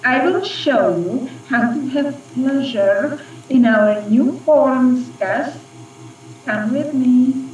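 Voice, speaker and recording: Heard through a distant, echoing recording, a female Russian spy ghost with a Russian accent. She draws her words out very slowly, purring and taunting like a scheming seductress in a radio drama. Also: high voice, a little mellow. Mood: seductive. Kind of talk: radio play acting. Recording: dull, echoey, distant, slightly noisy recording.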